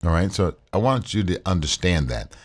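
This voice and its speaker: Man, baritone, very low voice, easygoing gear-review delivery, close studio mic. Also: moderate pace. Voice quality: deep baritone. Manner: relaxed, repetitive, uses fillers. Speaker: tech reviewer. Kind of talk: casual tutorial with screen share. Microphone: condenser studio mic.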